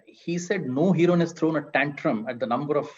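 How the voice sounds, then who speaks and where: A man's voice: on the high side, a little fast, muffled recording. A man with an Indian accent speaking as an author on a discussion panel, emphatic and often checking with "right?".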